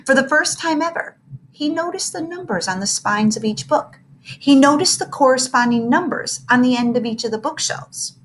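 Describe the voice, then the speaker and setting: A woman reading a children's book aloud in an expressive storytelling style. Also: high-pitched, normal speed, a little hiss or hum, rough recording. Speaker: storybook reader.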